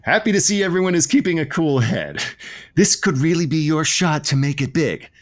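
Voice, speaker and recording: An actor playing an awkward radio network boss in an audio comedy, polite in a corporate way. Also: male voice, on the high side, big pitch swings, moderate pace. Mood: awkward, managerial.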